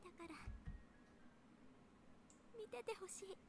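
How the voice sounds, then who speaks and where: A woman's voice: very high-pitched, moderate pace, creaky, background noise. A woman playing an earnest, resolute young daughter in a Japanese visual novel. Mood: earnest, emotional.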